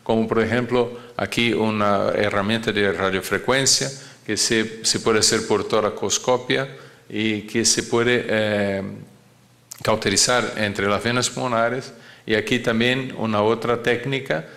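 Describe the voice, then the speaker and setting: A man who is not a native Spanish speaker gives a medical lecture, often halting as he searches for words. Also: fairly low pitch, steady tone, a bit bright. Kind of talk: conference lecture.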